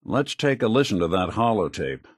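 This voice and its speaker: A man's voice: fairly low pitch, sing-song, moderate pace, slightly rough, no room sound, clean studio sound. An American man playing a world-weary, wry synthetic detective in a video game, speaking like a hardboiled gumshoe. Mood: focused, investigative. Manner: wry, streetwise, plain-spoken. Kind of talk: video game companion dialogue, hardboiled noir acting.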